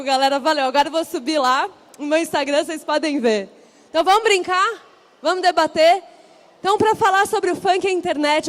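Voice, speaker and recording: A woman with a São Paulo accent hosting a panel on stage at a large event, chatty and upbeat as she rallies the audience. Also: very high-pitched. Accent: São Paulo Portuguese. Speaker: event host.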